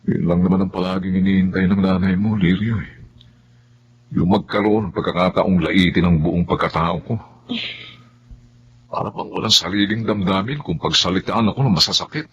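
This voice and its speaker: A man's voice: low-pitched, steady tone, moderate pace, close to an everyday voice. Dull lo-fi, humble working man played by an actor. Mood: aggrieved. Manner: apologetic, plain-spoken. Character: humble, put-upon working-class son-in-law, a dock porter. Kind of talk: Filipino melodrama acting. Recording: dull lo-fi audio, background noise.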